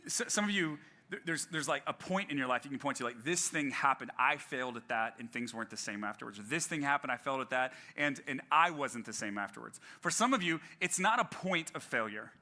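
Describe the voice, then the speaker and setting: A man's voice: medium pitch, lively intonation, brisk, bright, forceful tone. A male pastor giving a sermon in a conversational way, with frequent fillers and restarts and some self-deprecating humor.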